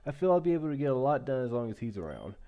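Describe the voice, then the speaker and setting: A young man with a deep voice reading a visual novel aloud and breaking off into playful asides. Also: big pitch swings, rapid-fire, narrow, tinny audio. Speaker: gaming YouTuber.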